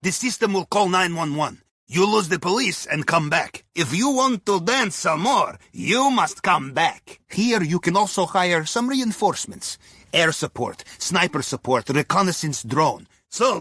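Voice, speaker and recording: High, raspy Russian heist planner. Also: male voice, pushed and bright, no echo, clean studio sound, full character voice. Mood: wry, genial. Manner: conspiratorial, wry, clipped non-native phrasing. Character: jovial, crafty Russian submarine captain and heist planner. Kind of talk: video game mission dialogue.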